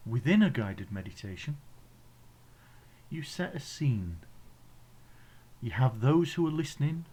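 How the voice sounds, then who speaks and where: A man with an English accent leading a guided meditation in a gentle, soothing, instructional way, leaving pauses between phrases. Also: medium pitch, big pitch swings, breathy edge. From England.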